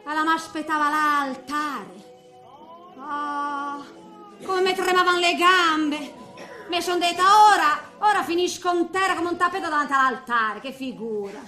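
A high, sharp-edged old woman of eighty, tells her story on stage in a regional Italian accent. She is sentimental and feisty, rambling in colloquial exclamations and muttered asides, and the room rings with echo. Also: a little slow, soft background music. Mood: nostalgic delight. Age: middle-aged. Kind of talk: theatrical comic monologue. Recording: echoey stage recording with faint noise.

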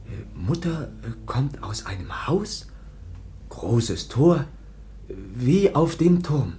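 A timid foundling lad of about seventeen in a radio play with audible noise. He speaks extremely slowly in broken scraps, like a small child learning words, and refers to himself by name. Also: mid-range voice, very animated, warm tone. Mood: dreamy, wistful. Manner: halting broken speech, naming himself in third person. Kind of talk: German radio drama acting.

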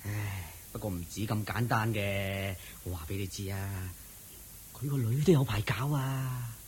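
An actor playing a harried, sympathetic neighbour in a Cantonese radio drama, confiding with sighs in a husky Hong Kong Cantonese voice. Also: male voice, on the low side, measured pace, slight background noise, lightly acted. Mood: pitying, confiding. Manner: sighing, confiding, expressive. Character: harried, gossipy, sympathetic village neighbour man.

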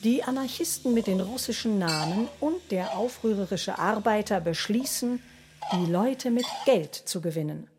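Woman, radio play narrator, mid pitch, scripted storytelling, noticeable noise behind. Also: lively intonation, normal speed.

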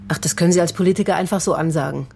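A woman's voice: fairly low pitch, racing, vocal fry, a little mellow, a little hiss or hum. An actress playing a bitter, worn-out middle-aged working mother in a radio drama, plain-spoken, reproachful and emotionally raw. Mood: wary, anxious. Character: bitter, exhausted working mother of an injured teenage son. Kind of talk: naturalistic radio drama acting.

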